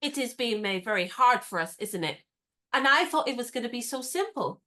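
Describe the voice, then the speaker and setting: Actress as a headstrong, teasing young woman; crisp delivery, fairly high voice. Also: lively intonation, normal speed, pushed and bright. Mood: wry. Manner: crisp, teasing and firmly insistent. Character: spirited, independent young woman, teasing and stubborn. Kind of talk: radio play acting.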